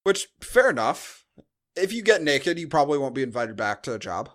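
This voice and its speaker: A man's voice: medium pitch, big pitch swings, normal speed, a bit bright. An American man co-hosting a podcast, talking casually about a film in a blunt, joking, sweary way. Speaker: podcast co-host. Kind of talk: casual film review chat.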